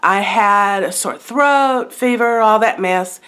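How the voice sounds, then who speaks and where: A middle-aged woman talks casually to a camera close by in her living room, her voice stuffed up from a head cold. She rambles in a friendly, unhurried way with plenty of ums and little asides. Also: mid-range voice, fairly bright tone, clean tone. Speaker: haul vlogger. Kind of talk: casual show-and-tell chat. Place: living room by a brick fireplace, indoors.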